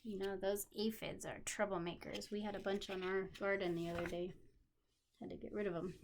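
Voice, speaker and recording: A woman teacher reading aloud to young children in an expressive manner, pausing for simple explanations and questions. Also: medium pitch, slight background noise. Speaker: special education teacher.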